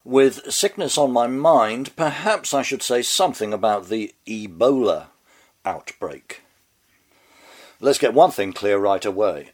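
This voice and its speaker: A man hosting a spoken commentary show with a heavy head cold, close to losing his voice, reading a scripted monologue in a dry, wry, mannered way. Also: fairly low pitch. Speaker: podcast host.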